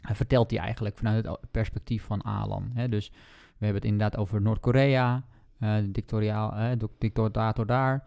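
Low, creaky-voiced man chatting. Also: flat delivery, fairly quick, few pauses, a little mellow. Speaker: book podcast host. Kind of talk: casual book discussion.

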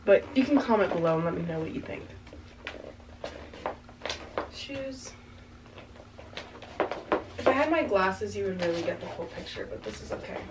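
A young woman in her twenties chatting casually as she tries on outfits in her bedroom, a little raspy from congestion, rambling with lots of fillers. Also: mid-range voice, animated, moderate pace, long pauses. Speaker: fashion vlogger. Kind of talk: casual try-on chat. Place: small bedroom with backdrop, indoors. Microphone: camera mic from a few meters away. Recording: slightly distant camera mic, faint room noise.

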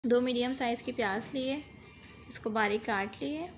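Cooking host, woman, high pitch, patient instructive voice-over. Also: moderate pace, faint music behind. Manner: instructive, repeats "dekhiye" to guide viewers.

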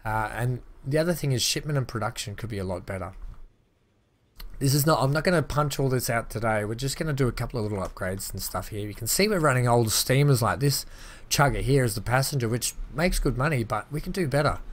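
A slightly hoarse man chatting casually as he plays and narrates a transport-building game, thinking aloud with upbeat asides. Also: medium pitch, animated, quick pace. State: slightly hoarse, losing his voice. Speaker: gaming channel host. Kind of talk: casual let's-play commentary.

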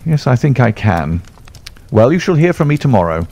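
A cool, sharp-witted detective, as a narrator puts him on, speaking with crisp assurance. The voice rises only a little above the reader's own low, gravelly tone and moves with lively inflection. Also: male voice, moderate pace. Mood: assured calm.

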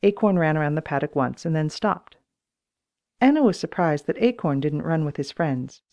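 A woman's voice: sits low, animated, moderate pace, dark tone, muffled recording. A woman reading a children's story aloud as plain scripted audiobook narration. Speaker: audiobook reader.

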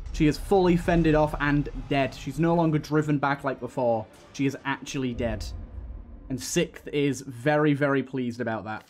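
A man hosting a let's play, giving running commentary on a game. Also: fairly high pitch. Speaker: gaming video host. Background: game music.

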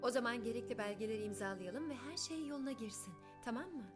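A woman's voice: fairly high pitch, fast talker, music in the background, natural voice. A woman playing a caring but firmly persuasive young aunt in a dubbed cartoon, soothing and coaxing.

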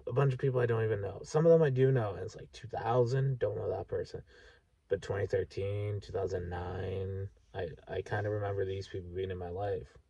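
A man of about thirty, talking frankly and haltingly in a sweary, candid personal vlog monologue. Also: fairly low pitch, fairly even intonation, gravelly, mellow, husky, no room sound, far from the mic, muffled recording. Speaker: vlogger. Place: inside a parked vehicle at night.

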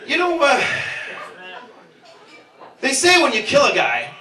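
A man's voice: very high-pitched, huge pitch swings, takes it slowly, bright, forceful tone. A man in his thirties doing a rambling, profane, self-deprecating stand-up set on a handheld mic in a small pub basement. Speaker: stand-up comedian. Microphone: handheld stage mic, filmed from the room. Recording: roomy club sound from far off.

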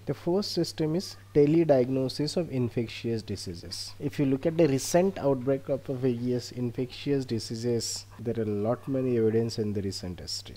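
A man with an Indian accent presents a research project in an explanatory way. His voice is relaxed and mellow, sitting at an average male pitch. The phrasing halts slightly as he walks through his slides.

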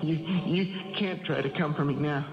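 Anxious, higher-pitched young ballplayer played by a man. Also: moderate pace, natural voice. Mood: alarmed. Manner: stammering, pleading. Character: earnest, anxious young rookie baseball player, naive country boy. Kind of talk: 1970s radio drama acting. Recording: muffled, noisy old radio broadcast.

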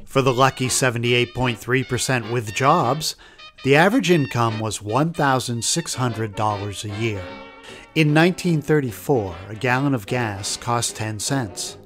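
A man narrating history from a script as a documentary narrator. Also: medium pitch.

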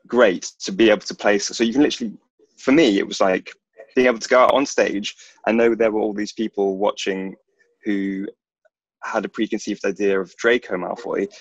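A young man, a stage actor, chatting enthusiastically and haltingly in an interview over a video call. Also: fairly low pitch, creaky. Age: young adult. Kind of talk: casual panel interview chat. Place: attic room with beamed ceiling at home. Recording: echoey, dull video-call audio.

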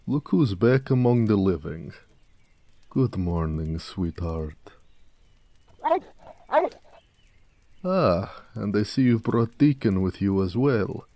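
A man voicing a big, humble, sweet-natured orc blacksmith in an intimate romantic audio roleplay, gentle, unhurried and affectionate. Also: lowish voice, lively intonation, no room sound. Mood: warm, teasing.

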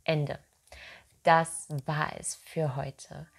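A woman in her thirties teaching German from home, saying each word twice slowly and clearly into a webcam. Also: deep voice, level tone. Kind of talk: slow, deliberate pronunciation drill. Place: home room, seated in armchair. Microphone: webcam, head-and-shoulders framing.

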